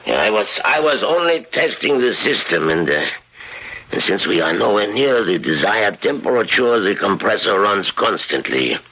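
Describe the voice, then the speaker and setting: An actor playing a confident, precise doctor-scientist in a measured, lecturing radio drama style. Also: male voice, on the low side, sing-song, sharp tone, full character voice. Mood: explanatory. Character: confident, precise male doctor-scientist explaining his machine. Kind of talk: old-time radio drama acting. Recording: dull, noisy 1970s radio recording.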